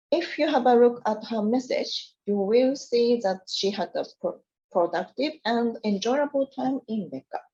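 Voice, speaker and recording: A woman gives a scripted, formal presentation, guiding viewers slide by slide. Her voice is soft and mellow, and the recording sounds slightly dull and lo-fi. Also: mid-range voice. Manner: polite, formal, reading from slides. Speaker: university staff presenter. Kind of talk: scripted presentation for a study fair.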